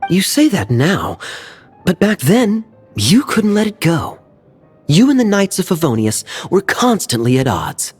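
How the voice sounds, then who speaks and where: A sly fantasy game knight with a very animated, swinging delivery. He is smooth-talking, sardonic and teasing, with faint music underneath. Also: sits high, unhurried, full character voice. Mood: needling, sardonic. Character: suave, teasing young knight, sly and playful. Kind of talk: video game voice acting.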